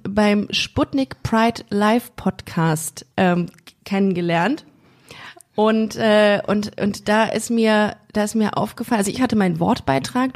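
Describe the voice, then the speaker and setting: A woman's voice: mid-range voice, darkish tone. A woman in her thirties hosting a podcast in casual interview chat, with a joking, self-deprecating manner. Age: 30s. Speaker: podcast host.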